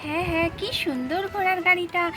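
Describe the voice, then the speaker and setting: Excitable little kid doll, played by a woman, high voice, pleading and repetitive, children's story, music behind. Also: fast talker. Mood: excited. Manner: pleading, exclaiming, repeating phrases.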